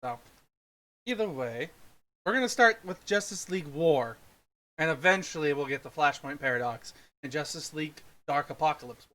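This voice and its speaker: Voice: mid-range voice, expressive, moderate pace, fairly bright tone. A podcast host chatting casually about films on a livestream, in a teasing, bossy way.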